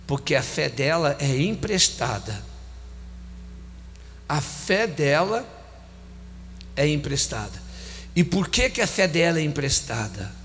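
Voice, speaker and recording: A man in his forties with a Brazilian Portuguese accent, preaching a sermon on a handheld mic, repeating phrases with emphasis and slowing down on key lines. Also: fairly high pitch, sing-song, fairly bright tone. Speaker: bishop preaching. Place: large church hall. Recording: long church hall reverb, faint hum.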